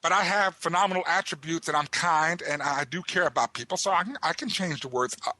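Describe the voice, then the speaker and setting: A man's voice: a little high, quick pace, sharp tone. A man in his fifties with a Southern American accent, co-hosting a tabletop gaming podcast and ranting indignantly, repeating words as he goes. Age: 50s. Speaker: podcast co-host. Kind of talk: casual podcast discussion.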